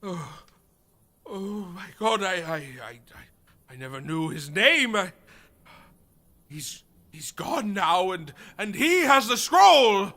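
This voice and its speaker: A man's voice: high voice, sing-song, slow pace, pauses often, sharp tone, full character voice. An actor playing a haunted, panicked scholar and father in a radio play, stammering and gasping. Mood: desperate. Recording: long reverberant hall sound.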